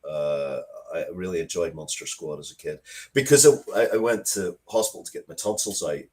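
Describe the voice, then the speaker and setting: Casual male interviewee, low register, halting and reflective delivery. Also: a little mellow. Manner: halting, thoughtful, repeats words as he goes. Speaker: interview guest, writer of Dracula comics and media.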